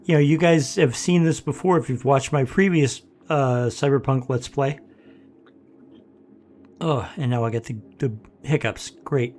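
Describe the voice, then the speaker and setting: An older man hosting a let's play from his home office, congested and rough-voiced, rambling with many pauses and fillers. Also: mid-range voice, darkish tone. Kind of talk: casual gameplay commentary.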